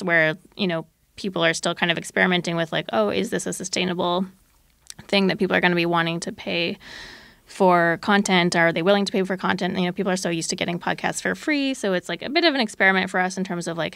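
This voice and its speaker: A woman with a fairly low, creaky voice talks casually as an interview guest. She hedges as she goes, in a tentative, conversational way, recorded dry and close. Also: a bit bright, no echo. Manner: hedging, tentative, frequent "like" and "you know". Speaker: podcast producer and guest.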